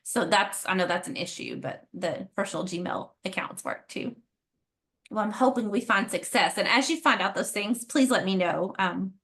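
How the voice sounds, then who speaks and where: A woman's voice: medium pitch, fairly bright tone. A woman trainer talking with teachers over a video call, apologetic and eager to help. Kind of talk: casual online meeting chat.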